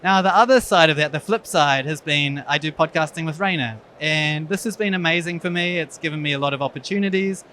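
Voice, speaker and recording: A man with a New Zealand accent gives a conference talk as an eye surgeon, with a somewhat high-pitched voice. He speaks frankly, with wry, self-deprecating humor. A faint background hum sits under his voice. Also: a little fast, few pauses, pushed and bright. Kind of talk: conference presentation.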